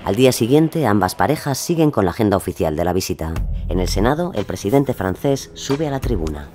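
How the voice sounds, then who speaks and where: A man's voice: on the low side, sing-song, a little fast, a little mellow, a little hiss or hum. A man narrating a scripted documentary drama.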